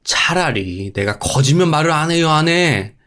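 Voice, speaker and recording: A grumbling rich husband from a folktale, acted out by a male storyteller. He whines and complains in an irritable, fed-up way, with his voice pushed high and swinging widely. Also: expressive, normal speed, fairly bright tone. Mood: exasperated grumbling. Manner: whiny, complaining.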